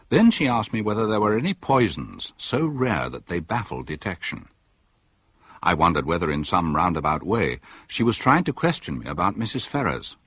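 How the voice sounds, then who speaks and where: A man's voice: deep voice, fairly bright tone, slightly rough, dry recording, full character voice. A man playing a reflective British country doctor who narrates his own story in a radio drama.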